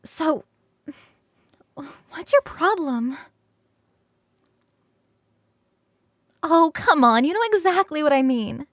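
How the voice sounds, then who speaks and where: High-voiced, flirty succubus roommate, acted by a woman. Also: animated, slow pace, fairly bright tone, dry recording, clean studio sound, heavily acted. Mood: coaxing, sheepish. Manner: bubbly, rambling, easily sidetracked, teasing. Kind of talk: ASMR roleplay acting.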